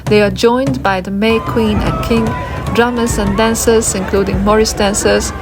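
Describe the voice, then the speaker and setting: A woman's voice: mid-range voice, fairly even intonation, moderate pace. A woman giving a scripted documentary-style narration. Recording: music under the voice. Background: music.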